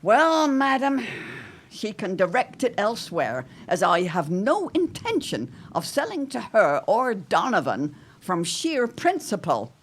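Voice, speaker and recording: A woman's voice: medium pitch, wildly swooping pitch, a little slow, a little hiss or hum. A woman playing an anxious but proudly stubborn older widow, speaking in dramatic, old-fashioned phrases in a period audio drama. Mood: indignant.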